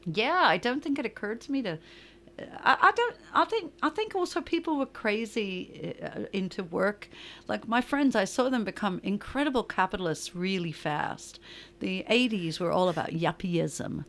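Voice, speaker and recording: A middle-aged woman speaks as a guest in a relaxed interview. She tells stories in an animated way, swearing freely, breaking off and restarting her sentences, and laughing as she goes. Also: mid-range voice, moderate pace. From New York. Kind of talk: casual interview chat.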